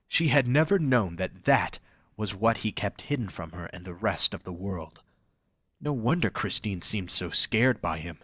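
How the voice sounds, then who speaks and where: A man's voice: fairly low pitch, bright, forceful tone, no echo. A man reading scripted story narration as the narrator of an audio drama.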